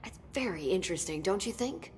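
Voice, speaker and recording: An actress playing an eager, nerdy research scientist in a video game, chatty, pedantic and easily sidetracked. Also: female voice, medium pitch, animated, brisk, slight background noise, lightly acted. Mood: keen, analytical. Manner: chatty, pedantic, rambling about details. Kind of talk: video game dialogue acting.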